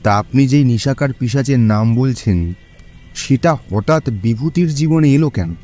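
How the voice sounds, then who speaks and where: A mellow, dark-toned man's voice at medium pitch acts out a curious companion in a horror audio play. He sounds conversational and inquisitive, with faint music behind him. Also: unhurried, close to an everyday voice. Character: Kishori, curious male friend of the narrator. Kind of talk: horror audio drama dialogue.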